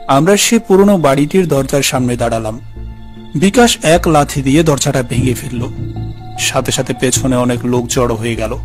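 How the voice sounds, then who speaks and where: A man's voice: medium pitch, normal speed, soft background music. A man narrating a scripted horror story in the first person.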